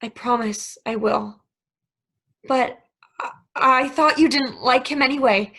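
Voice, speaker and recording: High-voiced, guilt-ridden young woman played by an actress. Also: takes it slowly, creaky, sharp tone, heavily acted. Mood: soothing, guarded. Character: Alicia, guilt-ridden young woman, secret lover, emotional. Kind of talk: stage play table reading.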